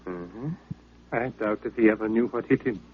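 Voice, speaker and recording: An actor playing a pompous, villainous doctor, formal, smug and coolly menacing, in an old radio drama. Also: male voice, fairly low pitch, moderate pace, slight background noise, close to an everyday voice. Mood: smug menace. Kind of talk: 1950s radio drama acting. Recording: narrow, tinny old-time radio recording.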